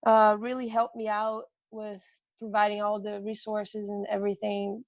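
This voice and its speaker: A woman's voice: mid-range voice, completely flat delivery, moderate pace, no echo. A woman with a Brazilian accent, a strength coach, telling her life story with frequent hesitations and restarts. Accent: Brazilian Portuguese. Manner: hesitant, many ums and restarts.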